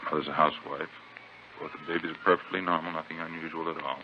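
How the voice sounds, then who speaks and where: A man's voice: extremely low pitch, level tone, quick pace. A man playing an earnest, orderly hospital doctor in an old-time radio drama, with clipped, formal speech. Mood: cooperative, businesslike. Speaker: actor in a radio police drama. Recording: noisy, muffled old radio recording.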